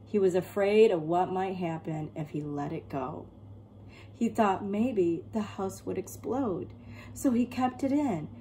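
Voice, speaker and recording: A woman storyteller reads a children's picture book aloud with expression. Her voice sits at an ordinary female pitch, and she plays out the tale for young listeners. A light noise sits behind her in the recording. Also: dark tone, poor audio. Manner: acts out characters and pauses to explain words.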